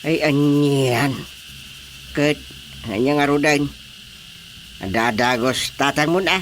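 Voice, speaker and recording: A woman's voice: sits low, takes it slowly, slight background noise. A woman playing a talkative, teasing village character in a comedy radio drama, often exclaiming. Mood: concerned, chatty.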